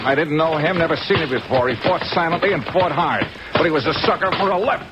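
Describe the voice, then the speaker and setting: An actor playing a tough, wry American insurance investigator with an American accent, in clipped old-time radio detective style. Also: male voice, fairly high pitch, fast, lightly acted. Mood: terse narrating. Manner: hard-boiled, clipped, wry. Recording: muffled old radio recording, loud background noise.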